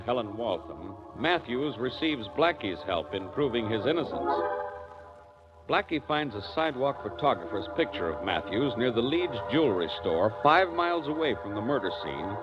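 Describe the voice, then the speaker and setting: A male announcer narrates in golden-age radio style over loud organ music. His mid-pitched voice is highly animated, and the old broadcast sounds muffled. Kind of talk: scripted 1940s radio narration.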